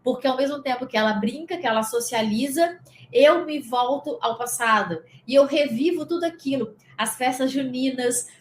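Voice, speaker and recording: A woman's voice: high-pitched, normal speed, reverberant. Brazilian woman, spiritual coach, rambling conversational talk, faint background hum. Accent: Brazilian Portuguese. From Brazil, interior of Espírito Santo. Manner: conversational, rambling, speaks directly to the listener. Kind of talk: casual guided lesson.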